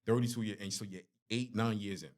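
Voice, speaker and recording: A man's voice: on the low side, steady tone, a little fast, darkish tone. A man in his forties hosting a podcast interview, talking in laid-back, slangy banter. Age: 40s. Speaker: podcast host. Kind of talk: casual interview chat.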